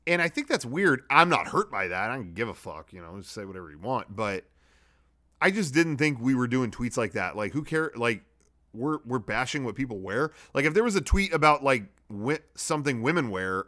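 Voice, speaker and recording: A man with a very lively, swooping delivery at an ordinary middle pitch. He talks like a casual comedy web host, reading short bits aloud and then ranting about them in blunt, sweary language. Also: brisk. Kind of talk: reading own draft tweets with casual, ranting commentary.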